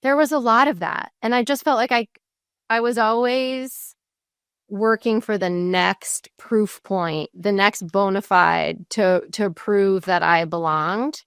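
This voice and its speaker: Guest entrepreneur, woman, 40s, smooth but gravelly voice, candid and thoughtful, close dry mic. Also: medium pitch, steady tone, slow pace, a bit bright, no echo. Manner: reflective, open, many restarts and fillers. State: tearful at moments. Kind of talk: candid conversational interview.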